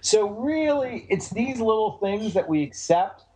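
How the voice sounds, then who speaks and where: A man with a big booming voice, a dating coach giving emphatic, animated advice on a call. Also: unhurried, a little hiss or hum, poor audio. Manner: animated, emphatic, repeats phrases. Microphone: call audio.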